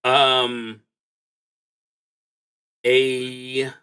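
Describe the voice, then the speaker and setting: Male solo roleplay streamer, mid-range pitch, unhurried delivery with halting pauses, reasoning through the game out loud. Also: completely flat delivery, smooth. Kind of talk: thinking aloud while playing, reading out game prompts.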